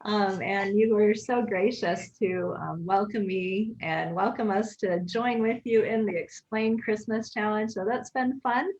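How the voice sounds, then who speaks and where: A woman's voice: mid-range voice, measured pace, few pauses, darkish tone, muffled recording. A woman hosting a casual video call chat, upbeat and friendly, with frequent fillers. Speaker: host.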